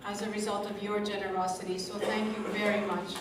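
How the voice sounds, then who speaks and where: A woman's voice: medium pitch, little pitch movement, brisk, heavy background noise. Big-voiced woman in an echoey hall. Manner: big projecting voice, speaks away from the mic; reads from a script with asides. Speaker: event emcee for a college scholarship evening. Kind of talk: public speech to an audience. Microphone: stage mic kept at a distance. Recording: echoey room, distant mic, crowd ambience.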